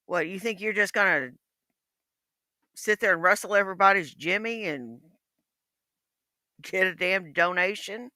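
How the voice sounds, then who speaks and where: A woman's voice: medium pitch, unhurried, long pauses, sharp tone, no echo, clean studio sound. A woman in her fifties, blunt, profane and mocking in casual livestream chat. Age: 50s.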